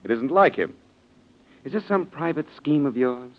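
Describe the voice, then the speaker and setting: An actor playing a defiant, suspicious, sardonic son of a rich family in a 1940s radio mystery. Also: male voice, medium pitch, lively intonation, moderate pace, natural voice. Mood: wary, sardonic. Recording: muffled vintage radio recording.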